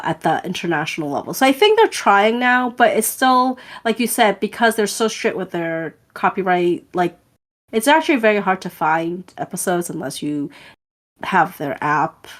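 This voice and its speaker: A woman co-hosts a relaxed podcast, talking in a somewhat low voice. She rambles and restarts, leaning on ums and uhs as she explains things. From Hong Kong. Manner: chatty, rambling with fillers and restarts. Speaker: podcast co-host. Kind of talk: casual podcast chat.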